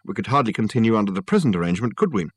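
A man voicing a brisk upper-class mother in an audiobook, sweetly condescending and ruthless. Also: lowish voice, sing-song, husky. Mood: reasonable, unyielding.

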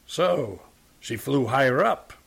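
A man voicing a pious, self-pitying male tramp with a soft, drawling, sickly sweet voice. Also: mid-range voice, animated, slow, gravelly, slightly rough, voice pushed up, exaggerated voice. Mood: wistful.

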